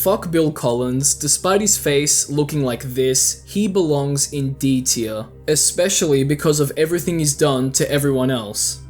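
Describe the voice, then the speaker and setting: A man's voice: a little high, darkish tone. A man delivering scripted video essay commentary in a conversational, opinionated way. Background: background music.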